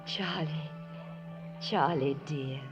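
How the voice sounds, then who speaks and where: A woman's voice: sits low, slow. An actress playing a prim, earnest, tender and determined woman with genteel, emotive phrasing in a 1950s radio drama. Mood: tender, shy. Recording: dull old radio recording, music behind.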